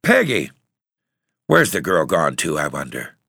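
A man voicing an excitable, scolding mother in an audiobook story, exclaiming. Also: deep voice, moderate pace, creaky, no echo, studio quality, full character voice. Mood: irritated.

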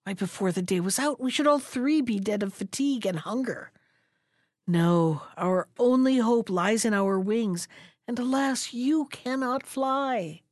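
A woman voicing a gentle, anxious duck who talks in an earnest, sorrowful way in a storybook reading. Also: mid-range voice, expressive, unhurried, no room sound, clean studio sound.

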